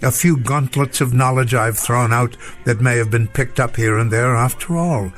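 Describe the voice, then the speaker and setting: An actor playing an elderly professor, formal, gentle and reflective, in a radio drama. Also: male voice, mid-range voice, moderate pace, close to an everyday voice. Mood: wistful, content. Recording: faint music behind the voice.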